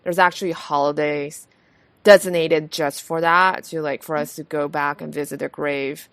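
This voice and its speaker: A woman's voice: low-pitched, fairly even intonation, normal speed, a bit bright, clear, resonant tone, no room sound. A woman from Taiwan hosting a podcast, chatting casually with many fillers and restarts. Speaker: podcast host. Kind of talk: casual conversation.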